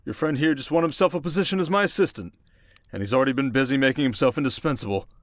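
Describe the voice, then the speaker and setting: A man playing a stern, demanding middle-aged rich businessman father in an audio roleplay, speaking in a measured, formal, commanding way. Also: medium pitch, no echo, natural voice. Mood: pleased, businesslike.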